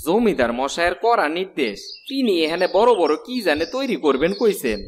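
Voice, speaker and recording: A man's voice: sits high, big pitch swings, brisk, slight background noise. A man playing a gloomy, matter-of-fact villager in a cartoon, plain-spoken and resigned, with a rural eastern Bengali accent. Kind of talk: animated cartoon dialogue.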